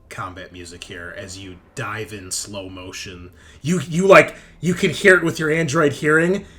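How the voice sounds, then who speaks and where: A man running a tabletop game as game master, narrating casually and excitably with informal asides. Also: on the high side. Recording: music behind the voice. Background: background music.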